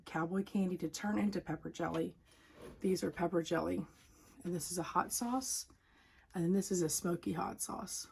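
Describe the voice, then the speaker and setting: Southern us lady doing a homey rambly vlog, kinda hoarse mellow voice, bit of noise behind her. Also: on the low side. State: slightly hoarse after a cough and lost voice. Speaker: home canning video host. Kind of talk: casual chat to viewers.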